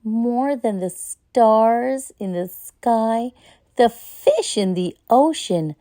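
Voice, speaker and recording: A woman's voice: a little high, lively intonation, drawn-out words, mellow, no room sound, clean studio sound. A woman voicing an earnest, eager little girl in a children's storybook. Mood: loving. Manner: urgent, earnest. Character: eager, loving little girl asking her mother.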